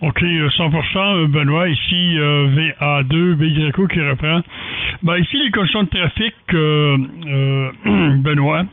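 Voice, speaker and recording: A man's voice: fairly high pitch, measured pace, a little hiss or hum. A man with a Quebec French accent, talking as an amateur radio operator over the air, hesitating often between phrases. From Quebec, Canada. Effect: amateur radio transmission. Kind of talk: ham radio contact, technical casual talk. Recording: dry, narrow radio-band signal.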